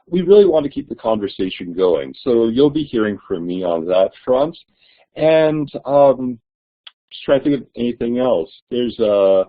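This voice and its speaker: A man hosting a webinar, giving friendly closing remarks with frequent fillers. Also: medium pitch. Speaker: webinar host. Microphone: video call. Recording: tinny online call audio.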